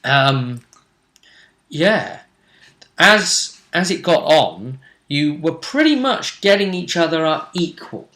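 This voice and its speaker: A British man hosting a tabletop role-play vidcast, recapping a game casually in a halting way, repeating himself and trailing off. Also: fairly high pitch, big pitch swings, sharp tone. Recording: roomy, echoing sound.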